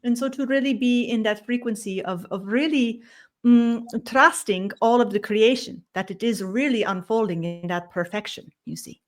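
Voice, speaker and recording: Ceremonious woman channeling a collective voice. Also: fairly high pitch. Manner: slow, ceremonious, adds "you see" to nearly every phrase; speaks as "we", with slightly odd grammar. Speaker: spiritual channeler. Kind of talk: channeled spiritual talk.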